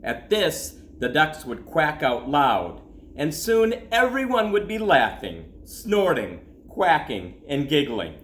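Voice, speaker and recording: Slow, expressive man reading a storybook, roomy echo. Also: on the high side, slight background noise. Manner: expressive, dramatic pauses, drawn-out words. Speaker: school principal reading aloud. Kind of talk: children's storybook read-aloud.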